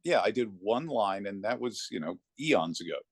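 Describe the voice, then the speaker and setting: A man's voice: on the low side, lively intonation, normal speed, dry recording, studio quality. A middle-aged or older man, a voice actor, telling anecdotes casually as an interview guest.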